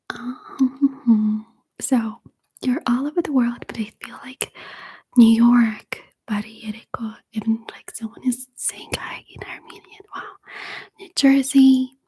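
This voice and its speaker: A woman chatting to live viewers in a breathy ASMR whisper, with a hesitant, nervous manner. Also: fairly high pitch, warm tone. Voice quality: breathy whisper, mostly unvoiced. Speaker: ASMR streamer hosting a live chat. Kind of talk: whispered ASMR casual livestream chat. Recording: faint background noise with clicks.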